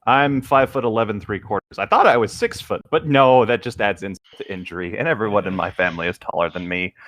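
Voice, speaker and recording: A man's voice: on the low side, quick pace, a bit bright, no room sound. A man in casual, joking conversation among friends.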